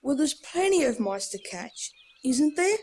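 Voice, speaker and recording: A wry Australian schoolchild with a fairly high voice. The kid is sensible and gently teasing, in a nostalgic radio drama style. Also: female voice, very animated, measured pace. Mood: dry, sensible. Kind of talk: nostalgic radio play acting.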